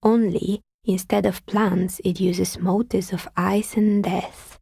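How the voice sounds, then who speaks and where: An actress playing a wry, world-weary artisan dicemaker in a video game, speaking in a dry, understated, matter-of-fact way with a husky, breathy voice. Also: female voice, medium pitch, steady tone, slow, warm tone, no room sound, heavily acted. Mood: deadpan. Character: wry, world-weary woman artisan who makes novelty dice. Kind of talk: video game character dialogue.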